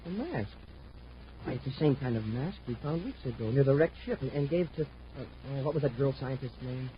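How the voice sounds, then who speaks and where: An American actor playing an eager, respectful young space cadet sidekick in a vintage radio adventure serial. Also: male voice, medium pitch, lively intonation, brisk, close to an everyday voice. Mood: puzzled, concerned. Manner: eager, boyish, deferential. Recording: muffled, faintly noisy vintage radio recording.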